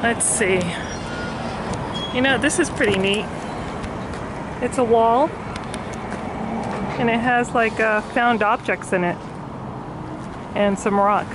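A woman's voice: fairly high pitch, takes it slowly, long pauses. A woman in her forties chatting casually as she films herself walking around outdoors, narrating what she sees. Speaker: vlogger. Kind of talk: casual travel vlog. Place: outdoors by a roadside. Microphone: handheld camera at arm's length. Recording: loud outdoor noise.

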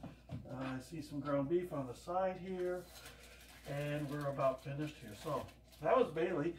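A man's voice: a little high, measured pace, a little hiss or hum. An older man chatting casually while he cooks at home, telling rambling homespun stories, picked up by a camera across the kitchen. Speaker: home cooking vlogger. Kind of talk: casual cooking demonstration. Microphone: camera across the room. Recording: echoey kitchen, voice from far off.

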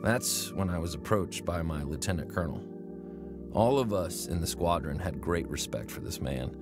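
A man's voice: low voice, moderate pace. A man earnestly narrating his own life story in first person for a radio drama. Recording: music bed under the voice.

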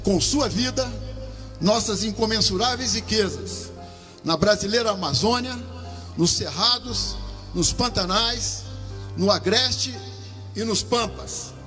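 A man with a Brazilian accent giving a slow, solemn ceremonial speech as an army commander at an outdoor podium microphone. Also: high-pitched, animated, bright, forceful tone, big-room sound, faint music behind. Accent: Brazilian Portuguese. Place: outdoor parade-ground stand.

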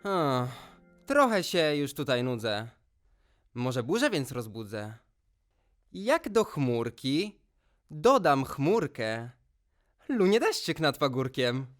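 Someone voicing a slow, mischievous storybook cloud-herder boy. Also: mid-range voice, very animated, faint music behind. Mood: bored, restless. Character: mischievous, bored, grumbly boy storm-cloud shepherd spirit. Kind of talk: musical children's fairy tale, rhymed and sung.

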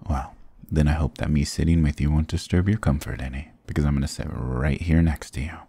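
Very deep, gravelly flirty goth guy, acted by a man. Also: a little fast, dark tone, dry recording, exaggerated voice. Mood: playfully indignant. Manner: teasing, affectionate, mock-dramatic. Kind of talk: intimate ASMR roleplay acting.

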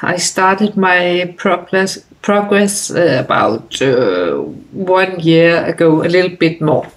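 A woman's voice: on the low side, expressive, takes it slowly. A woman in her fifties from Denmark with a Danish accent, talking casually to camera in her bedroom, hesitant with many fillers and restarts. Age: 50s. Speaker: vlogger. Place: bedroom indoors.

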